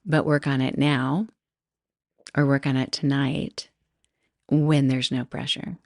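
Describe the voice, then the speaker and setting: A woman's voice: very deep voice, little pitch movement, takes it slowly, vocal fry, no echo, studio quality. A middle-aged woman hosting a solo podcast, chatting casually and rambling, often laughing and interrupting herself. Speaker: podcast host.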